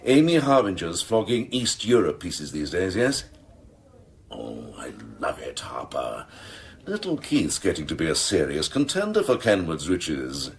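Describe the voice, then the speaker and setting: A man's voice: huge pitch swings, unhurried, slight background noise, exaggerated voice. A man playing a scheming, gleefully cynical senior police officer in a radio drama, with a theatrical, sardonic way of relishing his words. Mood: sly, sardonic. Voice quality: very deep, gravelly.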